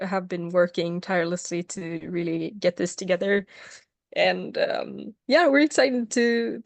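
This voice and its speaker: A young non-binary student chairing a casual meeting on a video call, talking with many pauses and fillers. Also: mid-range voice, no echo.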